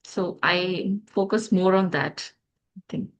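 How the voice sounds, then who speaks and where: Indian woman host, lowish voice. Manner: measured, encouraging, teacherly. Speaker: host of an English-learning channel. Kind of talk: interview.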